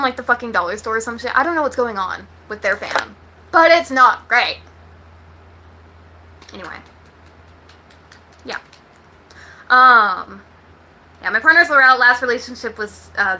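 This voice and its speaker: Bright, high-voiced American woman chatting bluntly. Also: slight background noise, rough recording. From Texas, United States. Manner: blunt, slangy, swears freely. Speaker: livestream host. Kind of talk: casual chat to viewers.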